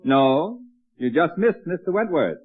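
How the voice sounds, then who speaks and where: Genial radio dad, very lively intonation. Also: male voice, a little high, measured pace, phone-quality sound. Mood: reassuring. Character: genial, reassuring middle-aged father. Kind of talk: old-time radio sitcom acting. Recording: thin, band-limited vintage radio recording.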